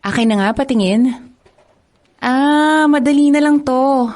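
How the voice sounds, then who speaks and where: A sweet, studious young college woman in a radio drama. She talks shyly and eagerly, with a slightly high voice and an easy giggle. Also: unhurried, darkish tone. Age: young adult. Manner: shy, eager to help, giggly.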